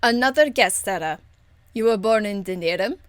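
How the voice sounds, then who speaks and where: A woman's voice: mid-range voice, lively intonation, normal speed, clean tone, no room sound, lightly acted. A woman voicing a stern, proper female warrior in a video game, speaking formally with a dry, weary exasperation. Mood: dry, exasperated. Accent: foreign, European-tinged. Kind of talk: video game character acting.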